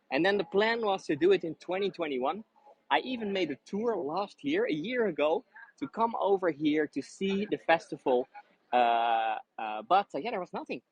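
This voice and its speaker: A man's voice: high voice, breathy edge, rough recording. A man with a Dutch accent, whose first language is Dutch, guiding a live walking tour outdoors on busy city streets, chatty and friendly with many fillers and restarts. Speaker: tour guide.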